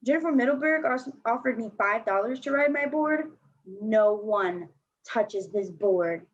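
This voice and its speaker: Brash teen skater girl, played by a woman, high and raspy, sarcastic, stage reading, muffled recording. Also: expressive, unhurried. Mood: cocky, defensive. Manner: blunt, slangy, sarcastic. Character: brash fourteen-year-old girl skateboarder, slangy, defensive, struggling. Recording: dull lo-fi recording.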